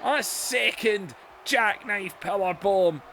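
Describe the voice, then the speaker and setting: An excitable man calls a wrestling video game match in a high voice, drawn out and unhurried between bursts of shouting. His voice is wearing hoarse, and a faint hiss of noise sits under the recording. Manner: excitable, shouts and laughs at big moves. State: voice going hoarse.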